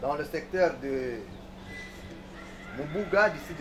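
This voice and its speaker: A man's voice: on the high side, slow pace. Echoey, distant man presenting courtroom evidence. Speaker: military prosecutor in court. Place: large indoor courtroom. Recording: echoey courtroom, distant mic, faint noise.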